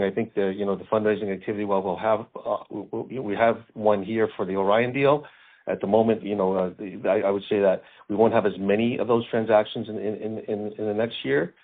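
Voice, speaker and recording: A man speaking as a finance executive, answering questions on an earnings call in a halting way, repeating words as he goes. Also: on the low side, steady tone. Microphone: conference call line. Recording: thin phone-like conference call line.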